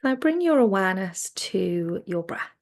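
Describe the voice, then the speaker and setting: A woman teaching guided relaxation, speaking in a soothing, gentle way with phrases that trail off softly. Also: medium pitch, very animated, unhurried. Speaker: yoga nidra relaxation teacher.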